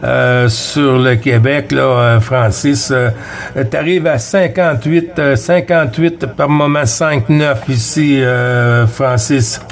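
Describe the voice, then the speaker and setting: A man's voice: medium pitch, takes it slowly. A man with a Quebec French accent chatting casually as a CB radio operator, hesitant and repeating radio codes. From Quebec, Canada. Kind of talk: casual radio contact chat.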